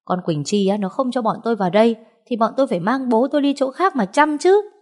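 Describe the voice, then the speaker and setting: A woman voicing a rude, grasping middle-aged aunt who wails and scolds loudly in an audio story. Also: fairly high pitch, animated, fast, pitched above the performer's own voice. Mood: scornful. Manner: wailing, scolding, loud.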